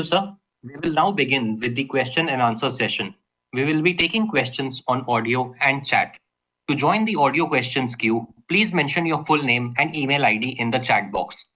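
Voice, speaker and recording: Bright-voiced man moderating a conference call. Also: mid-range voice, poor audio. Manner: formal, polite. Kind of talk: scripted announcement.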